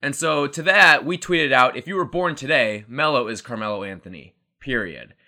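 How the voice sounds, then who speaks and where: A man's voice: mid-range voice, lively intonation, moderate pace, bright, forceful tone. A man in his twenties co-hosting a casual sports podcast, chatty and rambling, laughing at himself. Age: 20s. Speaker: podcast co-host.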